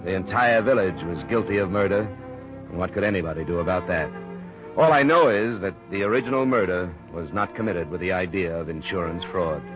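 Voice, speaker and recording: An actor plays a cynical, world-weary American investigator narrating an old radio mystery. His low voice is clipped and wry. Orchestral music runs behind the dull vintage recording. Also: brisk, natural voice. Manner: clipped, wry, matter-of-fact. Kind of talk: hard-boiled 1950s radio narration. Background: dramatic orchestral music.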